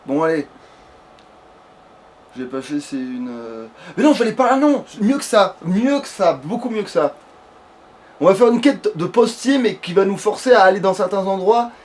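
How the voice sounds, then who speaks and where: A man's voice: high-pitched, animated, normal speed, darkish tone. A man doing casual, rambling let's play commentary with a friend, swearing crudely as he goes. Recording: background noise.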